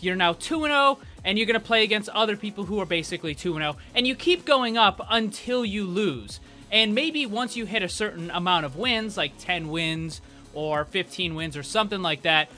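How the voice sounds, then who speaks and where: A man's voice: high voice, expressive, moderate pace, bright, forceful tone, faint music behind. A man hosting a gaming video, giving casual, informal and chatty news commentary. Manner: informal, chatty, frequent "like" and "you know". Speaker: YouTube gaming host.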